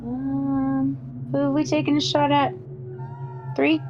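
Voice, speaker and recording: A woman's voice: high-pitched, level tone, takes it slowly, lo-fi sound. A woman playing a tabletop game, chatting casually as she decides her move. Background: background music.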